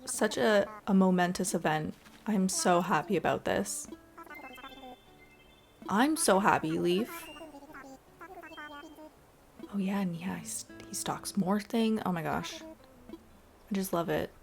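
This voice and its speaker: A woman giving casual, chatty and expressive voice-over commentary as she plays a video game. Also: medium pitch, slow, creaky, long pauses. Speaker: gaming video creator. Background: background music.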